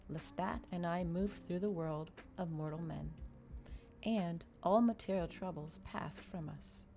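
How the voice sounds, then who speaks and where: A woman hosting an ASMR livestream, chatting casually and reading aloud in a soothing way. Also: lowish voice. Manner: soft-spoken, soothing when reading. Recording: clear background noise, fireplace crackle ambience. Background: fireplace crackles and quiet ambient music.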